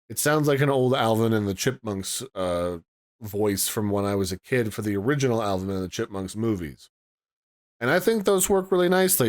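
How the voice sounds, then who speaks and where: A man giving a casual product review and demo as host. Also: medium pitch.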